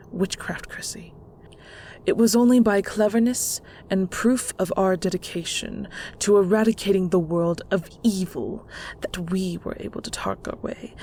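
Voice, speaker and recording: Actress as controlling matriarch of a magic clan: gravelly, unhurried, condescending endearments, often breathy, noisy ambience behind. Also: female voice, fairly low pitch, darkish tone. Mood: stern, cold. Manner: slow, deliberate, condescending, with terms of endearment. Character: stern, manipulative mother of a secret magical family. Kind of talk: scripted audio roleplay drama.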